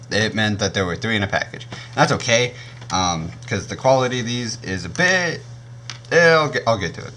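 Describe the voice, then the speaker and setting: A young man with a naturally deep voice, casually reviewing gear on his channel and often stopping to correct himself. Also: expressive, normal speed, sharp tone, slight background noise. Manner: rambling, self-correcting, frequent um and uh.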